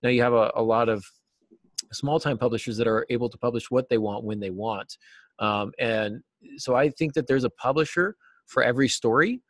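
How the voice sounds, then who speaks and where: A man's voice: medium pitch, moderate pace, fairly bright tone, no echo. A middle-aged American man talking casually and thoughtfully as a guest in an interview. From United States. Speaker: interview guest, novelist and teacher. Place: small cluttered office, indoors. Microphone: video call.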